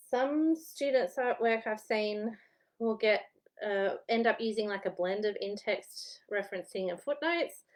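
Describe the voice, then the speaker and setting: A woman in her thirties with an Australian accent and a slightly worn voice, chatting casually from home as a historian giving study tips. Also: mid-range voice. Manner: chatty, rambling, laughs at herself. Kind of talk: casual chatty vlog advice.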